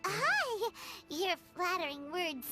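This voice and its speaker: A woman voicing a small, excitable, childlike fairy companion in an anime game, squeaky and bubbly. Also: slow pace, exaggerated voice. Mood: excited. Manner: bubbly, exclamatory. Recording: loud game music behind.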